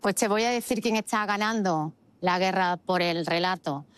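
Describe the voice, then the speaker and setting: A woman's voice: on the low side, normal speed, clear, resonant tone, no echo. A woman giving opinionated political commentary as a host, arguing emphatically with rhetorical questions and repeating phrases for stress. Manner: argumentative, uses rhetorical questions.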